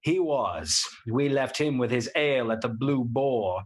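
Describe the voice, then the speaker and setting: An actor playing a young outlaw in a radio play, telling the tale in an even way. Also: male voice, mid-range voice, a little slow, lightly acted.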